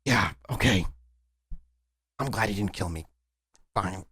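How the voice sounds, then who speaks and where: A man playing a wounded young male vampire, bitter but submissive, speaking in a halting, sullen way. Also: on the low side, animated, bright, forceful tone, slightly rough, no echo, full character voice. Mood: pained, resigned.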